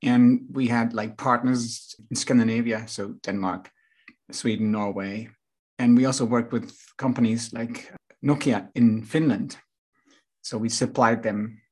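Dutch-accented man, host of a business interview podcast, husky voice, rambling and laughing easily. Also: medium pitch, steady tone, a little slow, a little mellow. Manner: chatty, rambling, many restarts and laughs. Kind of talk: casual interview chat.

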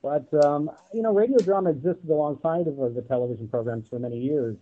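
A man's voice: fairly high pitch, quick pace. A man speaking as a podcast guest in a thoughtful, articulate way. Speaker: podcast guest, audio drama producer. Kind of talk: thoughtful roundtable discussion. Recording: thin, phone-like band-limited line.

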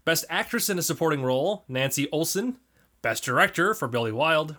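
A woman hosting a casual podcast, laughing often and briskly reading award lists. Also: low voice, lively intonation, a bit bright. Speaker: podcast host.

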